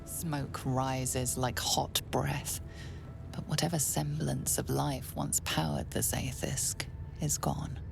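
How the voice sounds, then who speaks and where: Low, creaky, ominous fantasy storyteller. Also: female voice, little pitch movement, full character voice. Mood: hushed, grave. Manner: hushed, ominous, deliberate. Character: ominous female storyteller narrating a dark fantasy. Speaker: game narrator. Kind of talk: scripted second-person fantasy game narration. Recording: loud music under the voice. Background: loud game music.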